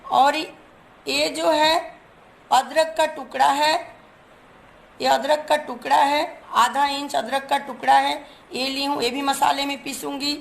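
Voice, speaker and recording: Hoarse woman hosting a home cooking video, chatty step-by-step explainer, slight fry in her voice. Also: sits high, level tone, slow pace. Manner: chatty, explains each step while showing ingredients. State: hoarse from a cold. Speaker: cooking channel host.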